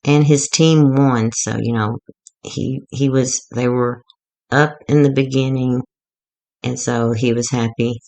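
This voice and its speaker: A woman going hoarse as she gives a rambling, chatty, self-deprecating walkthrough of a craft project. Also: a bass voice, steady tone, takes it slowly, a little mellow. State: getting hoarse. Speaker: craft tutorial maker.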